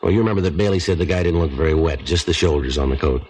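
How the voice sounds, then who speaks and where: An American actor playing a stoic, matter-of-fact police detective in a 1950s radio drama, with terse, deadpan procedural delivery. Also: male voice, rumbling low, rapid-fire, a little hiss or hum. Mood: dry, thoughtful. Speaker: actor narrating and playing the lead. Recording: old radio recording, faint music.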